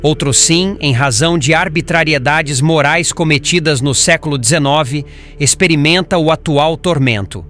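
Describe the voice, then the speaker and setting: A man voicing a patient, solemn, learned spirit instructor in formal, measured audiobook narration. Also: on the high side, a bit bright, slight background noise, natural voice. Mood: solemn, grave.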